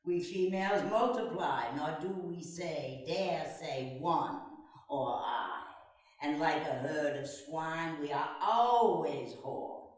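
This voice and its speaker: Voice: mid-range voice, lightly acted. A performer voicing an oracular, musing female fly creature in an incantatory theatrical reading. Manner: slow, incantatory, dramatic. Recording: very echoey room, far from mic.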